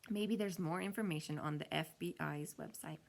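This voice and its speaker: Tech-savvy schoolgirl for a children's audiobook, played by a woman: eager, casual, faintly unsure, creaky. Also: low-pitched, moderate pace. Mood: flustered.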